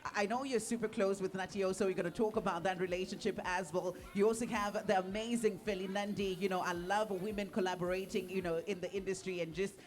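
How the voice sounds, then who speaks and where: A woman's voice: medium pitch, fairly even intonation, fast, few pauses. A woman hosting a live radio show, reacting with gushing praise and many fillers as she introduces topics. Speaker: radio host. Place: radio studio.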